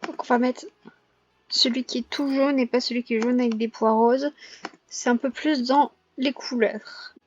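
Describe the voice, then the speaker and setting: A young woman with a cold and a hoarse voice, chatting casually over her gameplay with lots of pauses and self-corrections. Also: on the high side, steady tone, muffled recording. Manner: hesitant, many filler pauses and self-corrections. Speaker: gaming vlogger.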